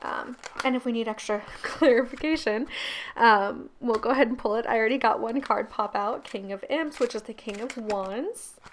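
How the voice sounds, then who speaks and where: A woman with a croaky, gravelly voice giving a casual pick-a-card tarot reading, chatty and laughing often. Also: a little high, normal speed. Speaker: tarot reader and channel host.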